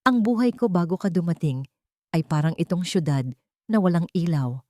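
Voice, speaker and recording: A woman voicing a devoted, tender man in a storybook romance, speaking in an earnest, emotional, measured way. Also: on the low side, lively intonation, a little mellow, dry recording, studio quality, close to an everyday voice. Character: devoted, tender man proposing to his beloved.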